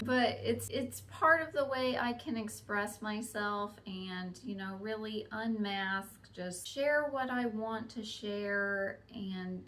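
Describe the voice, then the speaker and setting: A middle-aged woman talking casually to her camera, with a fairly monotone voice, a dry sarcastic humor and frequent halting restarts. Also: medium pitch, slight background noise. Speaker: hobby vlogger. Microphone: camera mic, talking to camera.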